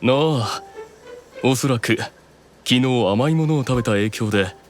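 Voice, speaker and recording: An actor playing a proud, keenly observant demon eldest brother in an anime audio drama, explaining things with deliberate authority. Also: male voice, mid-range voice, faint music behind, lightly acted. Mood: coolly observant.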